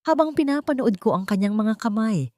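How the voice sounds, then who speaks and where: A woman reading a scripted first-person story with deliberate, dramatic phrasing. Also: on the high side, expressive, warm tone, dry recording, clean studio sound. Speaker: audio story narrator.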